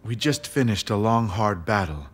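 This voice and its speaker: Low-voiced, noble strategist lord. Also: male voice. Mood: weary, calm. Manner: calm, formal, deliberate. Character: noble, weary strategist lord, tender husband. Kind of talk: scripted video game cutscene acting.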